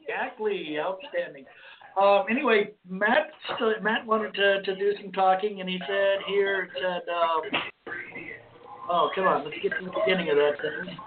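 Hoarse man hosting over a tinny line. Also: high voice, normal speed, background noise. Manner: rambling, with many restarts and fillers. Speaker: host. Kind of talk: casual call-in radio talk. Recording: phone-like lo-fi line.

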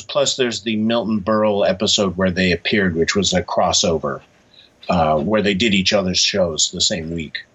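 Man, fairly low voice, thoughtful, halting delivery, casual interview answers, slightly lo-fi sound. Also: bright, forceful tone, husky. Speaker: interview guest, author. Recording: dull, muffled lo-fi audio.

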